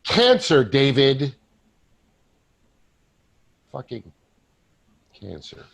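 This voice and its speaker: A man playing the ghost of a desperate salesman in a staged play reading, speaking earnestly and haltingly with a husky voice. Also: medium pitch, wildly swooping pitch, heavily acted. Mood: anguished. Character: desperate, pleading male ghost of a dead salesman.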